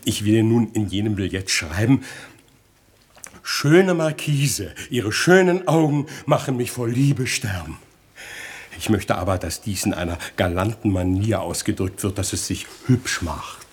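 An actor playing a vain, foolish, middle-aged rich bourgeois in a radio comedy, naive and eager, blustering and exclaiming. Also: male voice, fairly low pitch, animated, a little slow, breathy edge. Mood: puzzled, naive. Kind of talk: 1960s German radio comedy acting.

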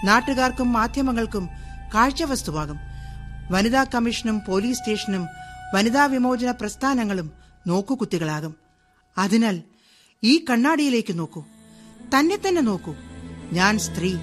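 A woman's voice: fairly high pitch, animated, normal speed, pauses often, soft background music, close to an everyday voice. An actress playing a defiant woman declaring herself in a radio drama monologue. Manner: forceful, proclaiming. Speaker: monologue speaker in a radio play.

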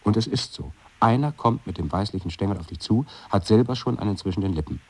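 A man's voice: fairly low pitch, lively intonation, fast talker, a little mellow, breathy edge. A man reading scripted narration in an experimental radio play.

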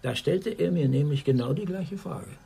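An actor playing a reluctant older man, guarded and measured as he recalls a buried past, in a German radio drama. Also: on the high side, creaky, dark tone, slight background noise. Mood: reflective.

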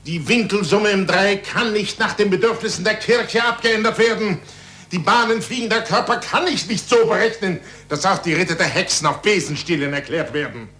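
An actor playing an impassioned, stubborn scientist with emphatic, rhetorical delivery in a 1940s German radio play. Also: male voice, sits high, normal speed, sharp tone. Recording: faint noise and hum, vintage radio.

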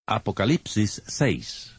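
A man's voice: fairly low pitch, sing-song, slow pace, creaky. A man narrating dramatized scripture in a solemn, dramatic manner. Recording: loud music behind.

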